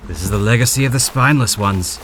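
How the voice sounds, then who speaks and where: A man's voice: lowish voice, fast talker, music in the background, natural voice, rough recording. A man playing a grim, determined male rebel guide in an audio drama, speaking earnestly and giving orders. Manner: earnest, commanding.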